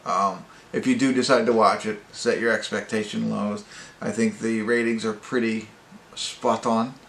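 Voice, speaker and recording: A man's voice: on the low side, fairly even intonation, normal speed, creaky, fairly bright tone. A man giving a casual, off-the-cuff review as host, rambling and hesitant as he searches for words. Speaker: amateur movie reviewer and host. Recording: roomy echo, faint background noise.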